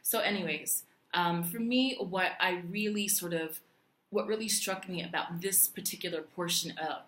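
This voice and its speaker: A woman from Puerto Rico talks casually to her viewers, chatty and animated, often restarting her sentences. Her voice sits a little low. The sound rings with the echo of the room. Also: normal speed, slight background noise. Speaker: vlogger. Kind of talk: casual talk to camera. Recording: echoey room.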